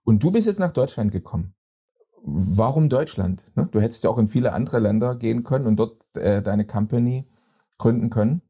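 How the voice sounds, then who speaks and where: A man's voice: medium pitch, lively intonation, brisk, dark tone, breathy edge, highs cut off. A man hosting a podcast interview, asking long chatty questions with many hesitant fillers. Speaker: podcast host.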